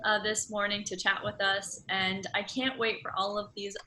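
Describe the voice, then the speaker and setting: A woman with a bright, forward voice conducts a casual interview. She phrases her questions tentatively and affirms answers with enthusiasm, over faint background noise. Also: medium pitch, level tone, normal speed.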